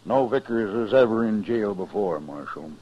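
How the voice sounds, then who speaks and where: An actor playing a stern, proud older rancher boss in a 1950s radio western, blunt and clipped, with a rustic American West accent. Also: male voice, fairly low pitch, animated, normal speed, slightly rough. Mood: proud, sober. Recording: muffled, hissy old radio recording.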